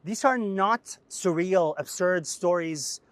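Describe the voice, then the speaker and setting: A middle-aged man from Syria, an executive editor speaking to camera in an interview, pausing often with fillers and restarts. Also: high voice, no echo. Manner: hesitant, many fillers and restarts. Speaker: executive editor of a media outlet.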